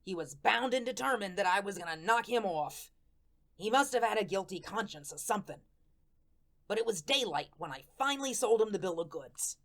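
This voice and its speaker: A woman's voice: medium pitch, expressive, a little fast, close to an everyday voice. Woman as a slangy, folksy ghost storyteller. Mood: wry, exasperated. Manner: colloquial, slangy, streetwise storytelling. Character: wry, folksy good-natured ghost narrator, lovestruck wise guy. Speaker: narrator. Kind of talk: first-person radio play storytelling.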